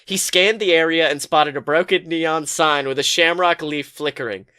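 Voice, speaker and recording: Higher-pitched man reading a story. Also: moderate pace, pushed and bright, smooth. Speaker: podcast host reading fan fiction aloud. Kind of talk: expressive story narration.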